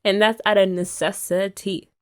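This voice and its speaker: A woman's voice: mid-range voice, little pitch movement, normal speed. A young woman chatting playfully and teasingly in a girlfriend roleplay ASMR. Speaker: ASMR creator.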